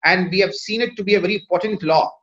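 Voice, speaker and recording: A man's voice: high voice, fast, fairly bright tone, lo-fi sound. A man from India with an Indian English accent, a Tamil native speaker, speaking formally as a lawyer on a webinar panel with frequent fillers. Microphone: video call.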